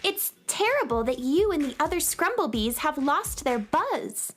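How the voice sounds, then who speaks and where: A woman's voice: sits high, sing-song, a little slow, fairly bright tone, heavily acted. A woman playing a kind, curious young space heroine in a children's radio drama, polite and earnest. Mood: kindly.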